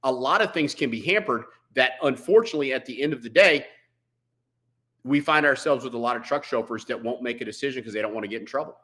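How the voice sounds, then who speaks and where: Male firefighter guest, bright forceful voice at average pitch, plain-spoken and emphatic, conversational shop talk. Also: quick pace. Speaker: veteran firefighter, podcast guest.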